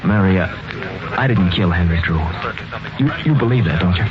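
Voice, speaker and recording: An earnest, shaken hero of a 1940s radio mystery. His low voice moves expressively and dramatically, with some hesitation. It comes through a muffled vintage broadcast. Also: animated, fairly quick. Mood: tender, earnest. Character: earnest, shaken young man, wrongly suspected lover and narrator. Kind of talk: 1940s radio mystery acting and first-person narration.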